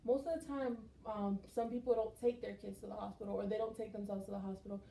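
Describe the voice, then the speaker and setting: A young woman from Texas talks through her experiences in a chatty, animated way. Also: mid-range voice, fast talker, creaky. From Texas, United States. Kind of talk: conversational class presentation. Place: skills lab room. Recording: roomy echo, faint noise.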